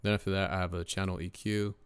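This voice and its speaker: A deep-voiced young man, a rapper casually walking through his mixing setup in a relaxed way, with lots of ums and uhs. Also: fast talker, clean tone, dry recording. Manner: laid-back, lots of uh and um fillers. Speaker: rapper and home mixing engineer. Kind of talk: casual screen-share mixing tutorial.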